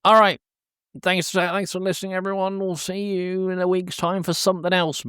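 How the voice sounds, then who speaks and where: A man's voice: high voice, flat delivery, a little fast, fairly bright tone, clear, resonant tone, no echo, studio quality. A man chatting casually about films as a podcast co-host. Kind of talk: casual film discussion chat.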